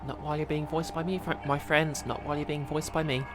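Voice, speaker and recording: A man with a slightly high voice reads story narration aloud as a streamer. He drops in casual asides of his own, with music playing faintly behind him. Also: little pitch movement, quick pace. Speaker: streamer reading a visual novel aloud.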